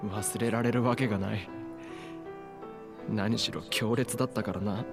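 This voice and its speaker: A man's voice: on the low side, fairly even intonation, quick pace, close to an everyday voice. An actor playing a brooding, dry but tender young man in a Japanese visual novel. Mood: subdued, tender. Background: game music.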